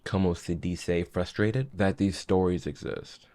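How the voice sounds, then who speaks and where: A man with a lowish voice comments casually on clips he plays. He talks in an impassioned, emphatic way, often restarting his sentences. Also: steady tone, measured pace, mellow. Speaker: commentary host.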